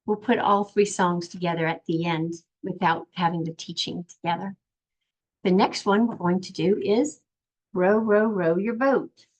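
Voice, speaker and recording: An older woman patiently teaching young children in simple, step-by-step phrases, in the gentle style of a preschool lesson. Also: fairly low pitch, fairly even intonation, moderate pace, poor audio. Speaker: children's sign language and song teacher.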